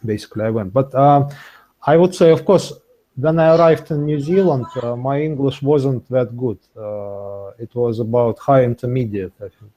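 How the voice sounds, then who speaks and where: Mellow man, hesitant speaker. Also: medium pitch, moderate pace, highs cut off. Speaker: interview guest, English learner. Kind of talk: casual interview talk.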